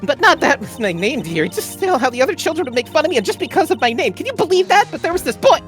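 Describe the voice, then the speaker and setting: Woman as elderly female guard in a courtroom game: talkative, coy, huffy, fairly high and very animated, music behind. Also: very fast. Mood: indignant, rambling. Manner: rambling, coy, doting grandmotherly endearments. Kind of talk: playful amateur voice-over of a courtroom game.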